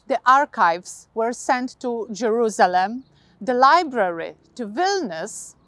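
A woman in her thirties with a Polish accent, giving a scripted historical presentation outdoors to camera on a lapel mic, in a measured, deliberate way. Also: a little high, a bit bright, no room sound. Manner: measured, slow, deliberate delivery. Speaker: guide. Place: outdoors by a modern building.

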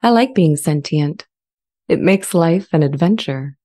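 Low, mellow, serene AI acted by a woman. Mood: serene, thoughtful. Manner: measured, calm, reflective delivery. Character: sentient female-voiced AI, gentle, serene and thoughtful. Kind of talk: dramatized transcript reading. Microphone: large studio condenser mic up close.

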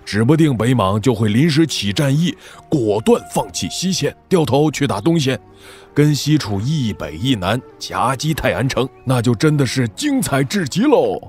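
A man's voice: medium pitch, very animated, moderate pace, a little mellow, soft background music, close to an everyday voice. A man playing a shrewd, wry military strategist in a wuxia audio drama, speaking in an analytical, sardonic way. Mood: wry, amused. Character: shrewd, wry male military strategist weighing rival commanders.

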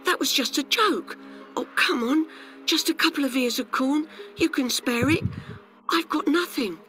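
Guy doing a cheeky carefree grasshopper for a kids story, high bright voice, chatty n boastful. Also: unhurried, faint music behind, heavily acted. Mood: cold, pleading. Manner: breezy, matey, excitable. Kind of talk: children's storybook character voice.

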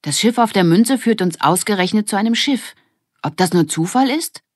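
A woman's voice: mid-range voice, expressive, normal speed, no echo, clean studio sound, pitched above the performer's own voice, lightly acted. A woman voicing a bold, curious young girl in a children's audiobook, speaking eagerly and emphatically. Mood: intrigued.

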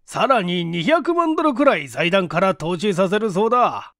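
A man's voice: sits high, big pitch swings, moderate pace, fairly bright tone, no echo, studio quality, pitched above the performer's own voice, heavily acted. Man acting composed company boss, raised voice. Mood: explaining.

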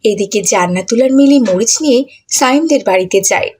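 A woman's voice: a little high, animated, a little fast, darkish tone, slightly rough. A woman narrator reading scripted storybook narration for a cartoon.

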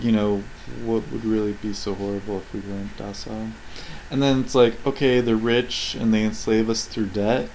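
A man's voice: medium pitch, a little mellow, a little hiss or hum, rough recording. A man giving casual, rambling commentary on video game cutscenes, sarcastic, profane and nitpicking. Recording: game dialogue and ambience behind the voice. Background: video game cutscene audio.

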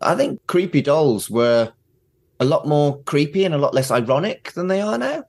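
A man's voice: fairly high pitch, animated, normal speed, gravelly, darkish tone. A man hosting a casual pop-culture discussion, opinionated and sweary, often putting questions to his guests. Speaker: podcast host.

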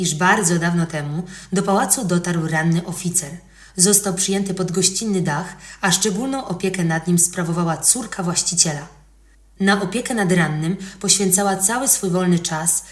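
A woman narrates history from a script. Her voice sits a little low, and it rings with clear room echo. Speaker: podcast narrator. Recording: roomy, echoing voice recording.

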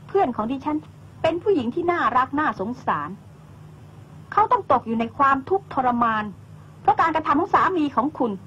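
A blunt, insistent young woman in a drama. She talks in a very high voice with a lively, rising and falling melody, and she is sharp and scolding. A faint background noise runs under her lines. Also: brisk, dull audio. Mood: indignant.

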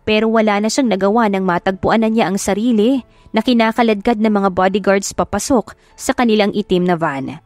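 A woman's voice: medium pitch, fairly quick, darkish tone, no echo. A woman with a Filipino accent narrating a dramatic audiobook. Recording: music behind the voice. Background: music.

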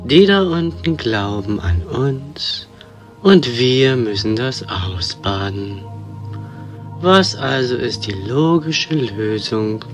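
A man acts out a hippie peace god in a parody audio drama. He drawls extremely slowly and casually, utterly relaxed, with music playing underneath. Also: medium pitch. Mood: relaxed, musing. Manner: slow, drawling slacker talk.

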